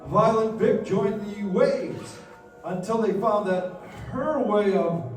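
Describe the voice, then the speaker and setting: A man playing a senior angel who patiently narrates a life story in a measured, explanatory way in a radio play. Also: high-pitched. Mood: storytelling. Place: live stage in a dance hall. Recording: echoey dance hall, distant, faint music.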